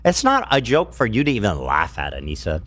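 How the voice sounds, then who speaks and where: A man with a mid-pitched voice jokes along in commentary. He teases in a mock-preachy way, and his pitch moves in a lively, animated way. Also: fairly quick. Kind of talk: joking commentary.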